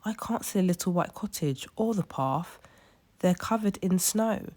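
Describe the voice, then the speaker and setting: A woman voicing an earnest, excitable young boy in a storybook, with an exclamatory manner. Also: lowish voice, moderate pace, voice pushed up, natural voice. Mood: anxious.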